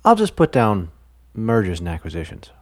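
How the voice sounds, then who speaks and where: A man voicing a large, weary male businessman who speaks in a dry, low-key, reluctant way. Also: huge pitch swings, normal speed, a little mellow.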